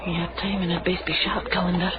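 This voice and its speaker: A woman's voice: lowish voice, level tone, fast, heavy background noise, close to an everyday voice. An actress playing a knowing, teasing young tavern woman in a radio drama. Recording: muffled radio drama with background bustle.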